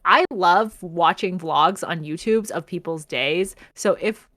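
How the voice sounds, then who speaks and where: A woman's voice: on the low side, takes it slowly, a bit bright, no room sound, studio quality. A young adult woman co-hosting a podcast in a casual, conversational style. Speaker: podcast co-host. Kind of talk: casual chat.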